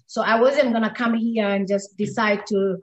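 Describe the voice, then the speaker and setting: A woman's voice: fairly high pitch, steady tone, moderate pace, echoey room, highs cut off. A woman from Somalia who grew up in Kenya, a podcast guest speaking earnestly and emphatically in conversation. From Somalia, raised in Kenya. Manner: earnest and emphatic, repeating words for stress. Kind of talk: heartfelt conversational interview.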